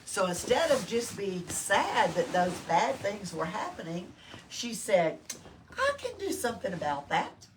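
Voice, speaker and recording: An older woman reads aloud to small children. Her pitch moves up and down expressively as she explains things simply and checks in with questions. She is picked up from a distance, with faint noise around her. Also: big pitch swings, a little slow. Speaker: library storytime reader. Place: small-town library. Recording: distant mic with background noise.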